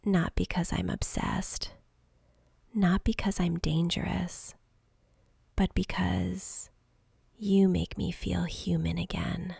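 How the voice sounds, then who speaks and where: Woman doing a lonely vampire girl asmr roleplay, breathy close whisper, crackly, super slow n tender. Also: lowish voice, flat delivery, a little mellow, dry recording, heavily acted. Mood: tender, wistful. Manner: slow, intimate, hesitant pleading. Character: lonely centuries-old vampire girl, possessive, tender, vulnerable. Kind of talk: whispered soft-spoken ASMR romantic roleplay. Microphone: close mic.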